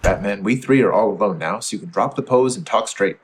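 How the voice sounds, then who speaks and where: A man's voice: on the low side, a little fast, slight background noise, close to an everyday voice, low-quality recording. A man playing an upright, righteous adult hero with earnest, emphatic delivery in 1940s radio serial style. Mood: stern, reproachful.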